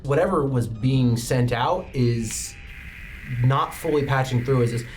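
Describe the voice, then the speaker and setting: A man running a tabletop roleplaying game as game master, setting scenes in a dramatic, halting way. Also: mid-range voice, very noisy. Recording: background music behind the voice. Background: music.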